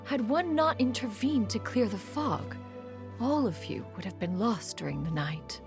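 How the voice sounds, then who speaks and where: A woman's voice: a little high, animated, measured pace. An actress playing a proud, aloof ancient immortal woman in a game, speaking formally and haughtily in a scripted monologue. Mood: exasperated. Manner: formal, haughty, calls herself "one". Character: proud, aloof female adeptus, ancient, sternly caring. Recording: music playing under the voice.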